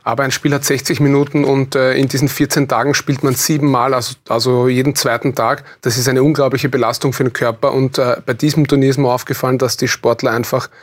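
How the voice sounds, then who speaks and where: A man's voice: medium pitch, little pitch movement, fast talker, few pauses. Male athlete interviewee, Austrian accent, mid-range voice, keen and sincere, TV studio talk. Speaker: athlete interview guest, handball goalkeeper.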